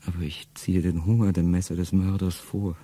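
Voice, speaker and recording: A middle-aged man speaking a first-person radio play monologue in a low, intimate way that often sinks to a whisper. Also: flat delivery, moderate pace, warm tone, breathy edge.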